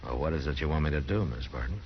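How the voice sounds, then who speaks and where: Frontier marshal, extremely low voice, firm and measured, gruff decency, muffled noisy old radio western. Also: male voice, expressive. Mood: concerned. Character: steady, authoritative western lawman, gruff but decent. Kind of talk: 1950s radio western acting.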